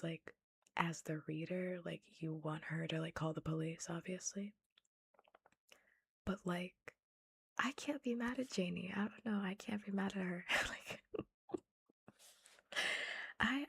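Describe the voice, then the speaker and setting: A young woman reads a novel aloud in a soft whisper, all breath and little tone, with a low, gravelly texture. Between passages she chats about the book in the same hushed way, her speech loose and full of "like". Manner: whispered, chatty with frequent "like". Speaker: book reader. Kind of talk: whispered ASMR book reading and chat.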